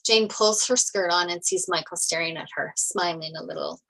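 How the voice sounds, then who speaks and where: A woman narrates a screenplay, reading out the stage directions. Her voice is a little low in pitch, in a table-read style. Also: moderate pace, creaky, fairly bright tone, poor audio.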